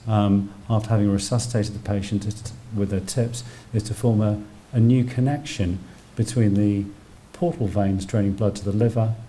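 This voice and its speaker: Low, mellow-voiced British man lecturing. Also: slight background noise. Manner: technical, explains terms plainly as he goes. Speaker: doctor lecturing on emergency radiology. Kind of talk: public medical lecture, explanatory. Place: indoor public lecture venue.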